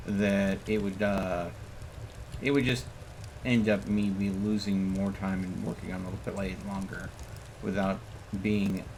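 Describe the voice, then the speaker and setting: A man streaming a game with casual commentary, muttering to himself as he plays. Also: fairly low pitch. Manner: muttering to himself while playing. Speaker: live streamer. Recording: clearly noisy background.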